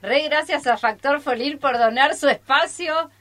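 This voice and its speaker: Middle-aged female guest, Argentine accent, fairly high voice, casual teacher talking in studio. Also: a little slow, fairly bright tone. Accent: Argentine Spanish. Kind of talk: casual group interview. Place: radio studio.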